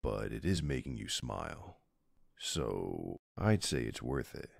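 Super deep crackly voiced mafia dad guy acting it out, tender and soothing, asmr husband roleplay. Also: very animated, gravelly, dry recording, clean studio sound, full character voice. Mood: soothing, playful. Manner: tender, soothing, with soft pauses. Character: doting mafia boss husband and gentle father. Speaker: roleplay audio performer.

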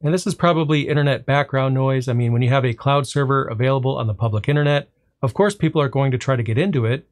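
A man hosting a tech tutorial, explaining software step by step in a relaxed way, often pausing on a drawn-out "well" before the point. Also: medium pitch. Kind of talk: screen-recorded software walkthrough.

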